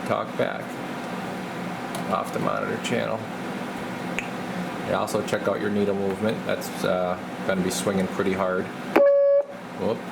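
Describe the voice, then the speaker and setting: Guy with a low voice showing his bench work, casual, muttering thru the steps, really noisy recording. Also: moderate pace, gravelly, long pauses. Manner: informal, talking himself through each step. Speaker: radio repair technician presenting his bench work. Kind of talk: casual hands-on demonstration talk. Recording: noisy workshop recording with loud steady hiss.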